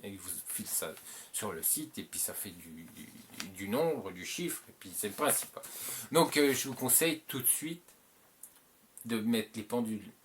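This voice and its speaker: French-speaking male host, low voice, casual rambling advice with fillers, faint background noise. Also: lively intonation, normal speed. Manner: rambling, repeats himself, many filler "euh"s. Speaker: channel host giving advice.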